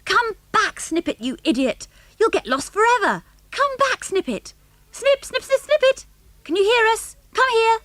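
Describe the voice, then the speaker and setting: Woman as an excitable high-voiced young boy calling. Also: unhurried, no room sound, full character voice. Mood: urgent calling. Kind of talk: children's audiobook character voice.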